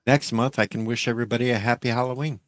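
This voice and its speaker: Deadpan joking man, dry close sound. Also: medium pitch, fast talker, no echo. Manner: deadpan joker. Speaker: show host. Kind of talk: casual chat.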